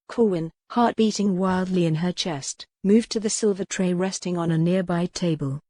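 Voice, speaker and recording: A woman's voice: lowish voice, dark tone, dry recording. A woman reading dramatic fiction as an audiobook narrator, in a scripted storytelling style.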